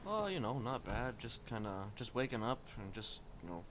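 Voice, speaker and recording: A man's voice: medium pitch, big pitch swings, normal speed, fairly bright tone, slight background noise. A man chatting casually in a role-play game, rambling and hesitant, padding his sentences with filler phrases. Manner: hesitant, rambling, often saying "you know". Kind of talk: casual in-character chat.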